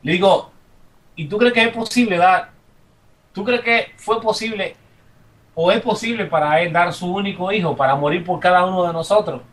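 Dominican man, higher-than-average voice, emphatic off-the-cuff faith commentary, slightly distant microphone. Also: moderate pace, a bit bright. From Dominican Republic. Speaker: congregant giving comments. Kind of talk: impromptu religious testimony.

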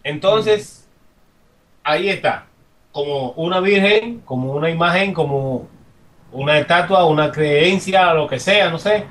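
Dominican man, higher-than-average voice, emphatic off-the-cuff faith commentary, slightly distant microphone. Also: a bit bright. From Dominican Republic. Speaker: congregant giving comments. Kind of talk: impromptu religious testimony.

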